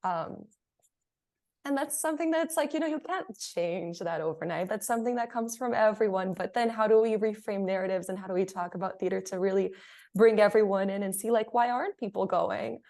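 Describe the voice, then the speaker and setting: A woman's voice: medium pitch, brisk, darkish tone. Woman reflecting aloud in a panel chat. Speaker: panelist, theatre artist. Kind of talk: casual panel discussion.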